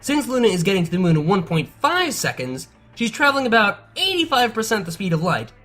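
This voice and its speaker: A performer plays an excitable pony scientist with a lively, swooping delivery. The explanations are precise and nerdy, made for a scripted cartoon science show, with music underneath. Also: mid-range voice, brisk. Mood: methodical. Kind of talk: scripted educational dialogue. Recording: music behind the voice.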